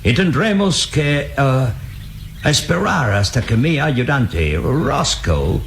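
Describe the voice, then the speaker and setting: Sly, slow-talking villainous captain with lively pitch. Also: male voice, slight background noise, exaggerated voice. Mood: falsely friendly. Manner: mocking, falsely deferential. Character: greedy, scheming male expedition captain, sly villain. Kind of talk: old radio serial acting.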